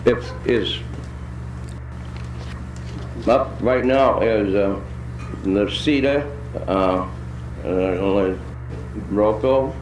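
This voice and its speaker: A man chairing a public meeting, calling speakers in a halting, hesitant way. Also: on the low side. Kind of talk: procedural announcements. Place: indoor public meeting room. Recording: echoey room with faint background noise.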